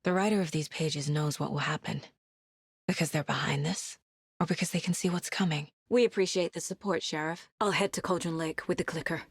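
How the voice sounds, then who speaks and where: A woman voice actress playing a determined, guarded female investigator in a video game, speaking in a measured, serious, naturalistic way. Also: sits low, fairly even intonation, breathy edge, no echo, clean studio sound. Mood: hushed, pondering. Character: determined, sharp female FBI investigator, guarded yet driven.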